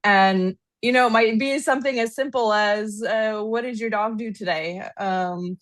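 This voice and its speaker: A woman in her thirties from Los Angeles with an American accent, chatting as an interview guest, animated and laughing often. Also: mid-range voice, normal speed, no room sound. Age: 30s. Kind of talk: casual interview chat.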